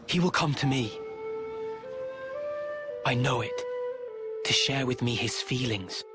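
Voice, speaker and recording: An actor playing a calm, earnest, quietly intense man in a video game, speaking in a measured, reflective way. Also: mid-range voice, over loud music, close to an everyday voice. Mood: intense, certain. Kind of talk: dramatic video game voice acting.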